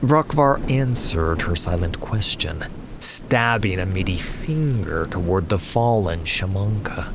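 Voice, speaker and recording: Slow, low-voiced male fantasy narrator. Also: animated, background noise. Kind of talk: dramatic scripted fantasy narration. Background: music.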